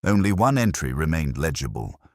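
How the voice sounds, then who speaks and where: A man narrating a scripted first-person detective story in a measured, literary, brooding way. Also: rumbling low, sing-song, darkish tone, dry recording, clean studio sound.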